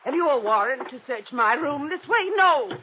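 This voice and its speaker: An actress playing a pleading, defensive, middle-aged housekeeper in a 1950s radio mystery, formal and agitated. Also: female voice, sits high, expressive, moderate pace. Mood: indignant, panicked. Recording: dull vintage radio recording, clear hiss.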